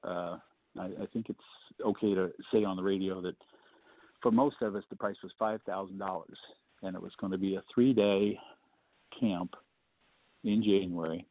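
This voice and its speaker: A man with a low baritone voice, a guest on a call-in show, telling a story casually and joking along the way, with many fillers. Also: fairly even intonation, normal speed, long pauses, no room sound. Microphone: phone. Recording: thin phone line.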